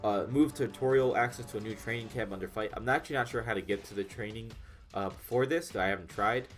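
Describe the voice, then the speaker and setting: A young man with a low, nasal voice, audibly stuffed up from a cold, talks through game update notes in a loose, rambling way. He swears freely and restarts his sentences. Faint background music plays beneath him. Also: fast. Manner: rambling, profane, frequent fillers and restarts. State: congested, under the weather. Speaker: gaming YouTuber. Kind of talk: casual commentary reading patch notes.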